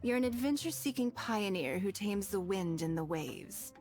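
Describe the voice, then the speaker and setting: A woman playing a shrewd, wealthy noblewoman in a video game, elegant, composed and gently teasing. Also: mid-range voice, animated, lightly acted. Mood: composed, gracious. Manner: refined, composed, deliberate. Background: music.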